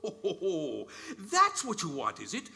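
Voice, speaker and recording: An actor playing a kindly, playful old Santa-like gentleman in a radio play, encouraging and chuckling, with hesitant, grandfatherly delivery. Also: high voice, huge pitch swings, slow pace, gravelly, exaggerated voice. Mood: tender, gentle. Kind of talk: theatrical live radio-play acting.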